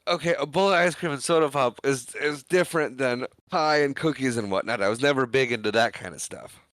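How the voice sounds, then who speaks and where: A man's voice: mid-range voice, a little fast, a bit bright, no room sound. A man co-hosting a podcast, retelling film scenes in casual chat, acting out the characters' quoted lines. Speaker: podcast co-host.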